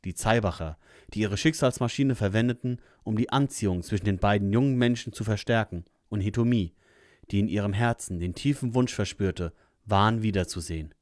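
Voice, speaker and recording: A man's voice: on the low side, a little fast, a little mellow, dry recording, studio quality. A man reading scripted narration for an audio drama.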